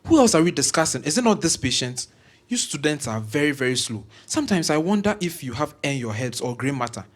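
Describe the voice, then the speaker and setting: An actor with a Ghanaian accent playing an arrogant senior medical professor who scolds in grand, wordy language. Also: male voice, fairly high pitch, very animated, quick pace. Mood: scornful, exasperated. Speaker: voice actor in an audio drama. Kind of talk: scripted audio drama acting.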